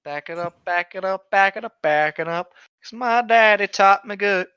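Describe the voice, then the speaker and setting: Bright-voiced, sweary man mocking what he reads. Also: high voice, moderate pace, smooth, dry recording, highs cut off. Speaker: co-host of a comedy reading show. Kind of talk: casual reading aloud with joking commentary.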